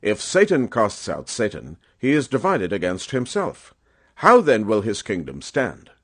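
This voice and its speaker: A man voicing a stern, authoritative holy teacher in a scripture audiobook, forceful, solemn and declamatory. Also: on the low side, huge pitch swings, a little slow, breathy edge, heavily acted. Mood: firm.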